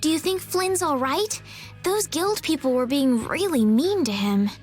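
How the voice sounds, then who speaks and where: A woman voicing a gentle, polite and earnest young woman in a video game. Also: high-pitched, lively intonation, measured pace. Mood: concerned curiosity. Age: young adult. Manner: polite, careful, softly earnest. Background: game music.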